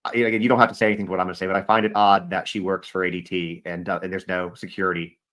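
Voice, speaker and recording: A man's voice: low voice, level tone, very fast, fairly bright tone. A man hosting a livestream interview, choosing his words carefully and often restarting. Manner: hedging, careful wording, many restarts. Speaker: livestream show host. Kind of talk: interviewing a guest.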